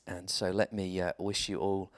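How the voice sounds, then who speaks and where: A man, a reverend, telling a children's bedtime story in a measured, dramatic way with deliberate pauses. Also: low-pitched, level tone, slight background noise.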